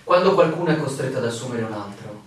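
A man's voice: mid-range voice, animated, fast talker, close to an everyday voice. Man as a sardonic stubborn outsider, very echoey. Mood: argumentative. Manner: sardonic, argumentative. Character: stubborn, sardonic male outsider bargaining with authority. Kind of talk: Italian radio drama acting. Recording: very echoey, distant radio play recording.

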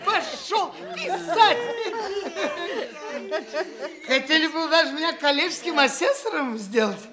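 A very high, bright voice that swoops about as a foppish young official from the capital. He is boastful and gallant in an affected, drawn-out stage-comedy style. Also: lively intonation, a faint crowd, exaggerated voice. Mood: boastful, excited. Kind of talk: theatrical classic stage comedy acting.

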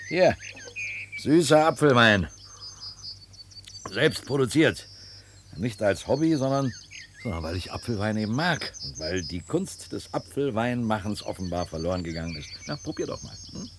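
A wry, easygoing bird-loving neighbour in a radio play. His lowish voice swoops expressively as he teases. Faint noise runs behind it. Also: sing-song, moderate pace. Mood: fond, musing. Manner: teasing, leisurely, wry. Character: easygoing, mocking male neighbour, bird-loving cider maker. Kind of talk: German radio play acting.

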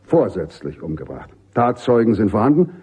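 Army general, male, middle-aged: mellow, slightly rough voice, jovial authority, emphatic radio-play delivery. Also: fairly low pitch, big pitch swings, moderate pace, exaggerated voice. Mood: persuasive, brisk. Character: commanding American army general, genial but insistent. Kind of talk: German radio drama acting.

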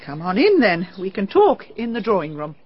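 Composed lady novelist, lively pitch, dull radio sound. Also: normal speed, a little hiss or hum. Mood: polite. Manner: polite, composed. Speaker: crime novelist. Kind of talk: radio drama acting. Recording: dull radio drama recording.

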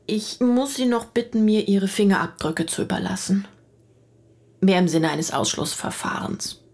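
A woman's voice: mid-range voice, lively intonation, normal speed, creaky, darkish tone. An actress in a radio drama playing a matter-of-fact female police detective who questions probingly. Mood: probing, businesslike.

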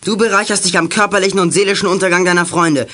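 Teenage boy sleuth, indignant, stern, quick delivery, bright forceful voice, children's radio drama. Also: sits high, steady tone. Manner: accusing, stern. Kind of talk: German children's radio play acting.

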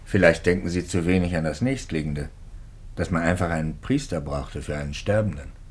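An earnest, husky priest. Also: male voice, deep voice, fairly quick. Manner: earnest, emphatic, loyal. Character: earnest male parish priest defending a friend. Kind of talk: 1950s radio drama acting.

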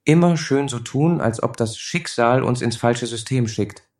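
A man's voice: medium pitch, a little slow, darkish tone, lightly acted. A man playing a sarcastic, suspicious spaceship crew member in a satirical radio play. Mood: sarcastic suspicion.

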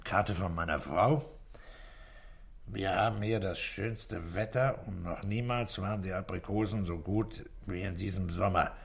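A man's voice: low-pitched, measured pace, creaky, breathy edge, exaggerated voice. A man acting a stern, terse middle-aged police commissioner in a 1960s German radio play, giving orders and asking curt questions. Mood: calm, probing.

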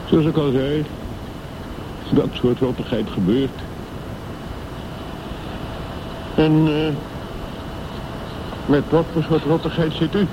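A man's voice: fairly high pitch, fairly even intonation, unhurried, long pauses, dull audio, noisy background, close to an everyday voice. An actor playing a weathered private detective in his late sixties, laconic, blunt and wry, in radio drama dialogue. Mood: blunt, resigned. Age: older.

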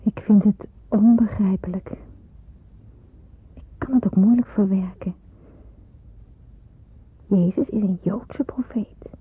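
Vurige jonge Joodse onderduikster, hese stem. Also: female voice, medium pitch, steady tone, takes it slowly, pauses often, husky. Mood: anguished, wondering. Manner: earnest, emphatic, pleading delivery. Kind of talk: Dutch radio play acting. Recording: muffled narrowband old radio play.

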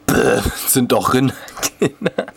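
A man's voice: medium pitch, expressive, takes it slowly, vocal fry, slightly rough. A man delivering absurd comedy lines in a silly, theatrical manner.

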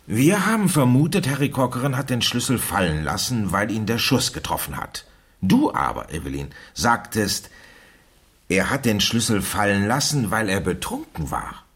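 A methodical gentleman sleuth in a radio mystery. His low, husky voice rises and falls a great deal. He is emphatic, measured and encouraging. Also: very animated, full character voice. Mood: encouraging, intent. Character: methodical, encouraging gentleman explaining a murder case. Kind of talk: German radio crime drama acting.

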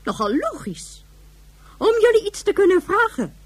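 An actress in a Dutch radio drama playing a brisk, sardonic high official who speaks formally, curtly and dryly. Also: female voice, very animated. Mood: curt, impatient. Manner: formal, curt, dry.

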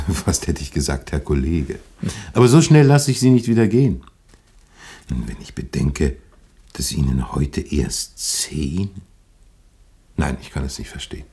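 A moralising, zealous pastor in a radio drama, with an extremely deep, dark voice. His delivery is deliberate and emphatic, with a husky grain and a preacher's earnestness. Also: big pitch swings, heavily acted. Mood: courteous, indignant. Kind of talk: German radio play acting.

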